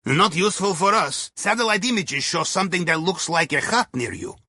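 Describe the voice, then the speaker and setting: An actor playing a wry, chatty Russian heist planner in a video game, speaking accented broken English in a droll, folksy way. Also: male voice, sits high, a little fast, bright, forceful tone, dry recording, studio quality, exaggerated voice. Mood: advising, cautionary. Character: wry, jovial Russian submarine captain's heist planner, male. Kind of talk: video game mission dialogue over radio.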